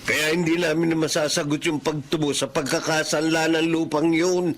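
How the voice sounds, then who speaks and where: An actor playing an earnest, burdened middle-aged father, speaking in a measured radio drama style. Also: fairly high pitch, steady tone, lightly acted. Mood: firm, earnest.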